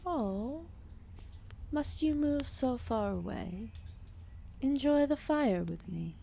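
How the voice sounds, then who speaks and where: A woman playing a calm, solemn, ancient serpent deity in an ASMR roleplay, speaking softly and formally with deliberate pauses. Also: high voice, slight background noise, heavily acted. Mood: gentle, affectionate. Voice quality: soft, breathy, often dropping into whispers. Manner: slow, deliberate, formal phrasing with long pauses.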